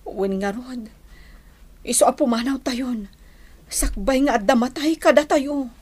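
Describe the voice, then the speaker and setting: A woman's voice: sits high, measured pace, a little mellow, husky. A woman acting a humble, hesitant and fearful Filipino village neighbour in an Ilocano radio drama. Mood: worried.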